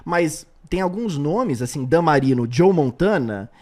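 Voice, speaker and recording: Animated man with slight American accent. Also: fairly high pitch, unhurried, a crowd in the background. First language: English. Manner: animated storyteller. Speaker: sports commentator. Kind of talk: casual podcast chat.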